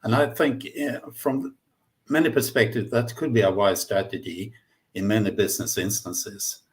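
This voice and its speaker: Swedish guy presenting on a webinar, lowish voice, kinda halting as he talks. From Sweden. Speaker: guest speaker, management consultant. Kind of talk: webinar presentation, semi-spontaneous.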